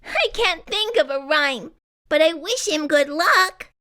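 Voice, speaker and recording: A woman's voice: sits high, lively intonation, takes it slowly, sharp tone, pitched above the performer's own voice, heavily acted. A woman voicing a self-doubting young schoolboy rock in a children's picture book, whiny and protesting. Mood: flustered, reluctant.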